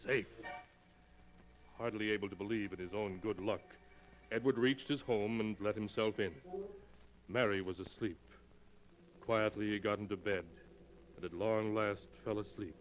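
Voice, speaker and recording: An actor playing the solemn, dramatic narrator of a 1940s radio terror tale. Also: male voice, lowish voice, fairly even intonation, a little slow, long pauses, natural voice. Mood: suspenseful, solemn. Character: ominous male storyteller of a terror anthology. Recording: noisy 1940s radio recording.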